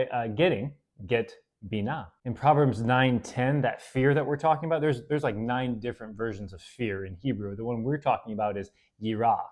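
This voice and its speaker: Brief: male host, relaxed Bible study teacher, chatty with fillers, reads verses slowly. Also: medium pitch. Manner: relaxed, conversational, with fillers and self-corrections. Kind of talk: casual Bible study talk.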